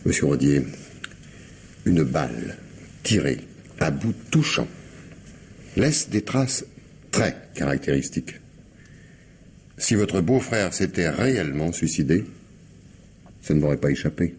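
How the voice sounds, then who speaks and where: Radio crime drama: wry, insistent commissioner, deep voice with lively swoops, theatrically hesitant interrogation. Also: male voice, measured pace, pauses often, a little hiss or hum, heavily acted. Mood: probing irony. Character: shrewd, sardonic male police commissioner interrogating a suspect. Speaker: actor in a radio mystery.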